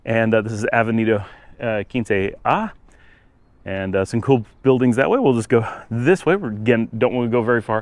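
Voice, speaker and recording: A man's voice: mid-range voice, no room sound. A man narrating a casual walking-tour vlog outdoors, rambling and thinking aloud as he goes. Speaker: travel vlogger. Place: outdoors, walking on residential city streets.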